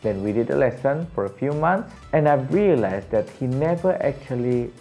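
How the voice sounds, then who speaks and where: Raspy, gravelly-voiced male vocal coach. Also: normal speed, faint music behind. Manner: animated, jumps to high excited pitch on emphasis, laughs often. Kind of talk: casual teaching monologue.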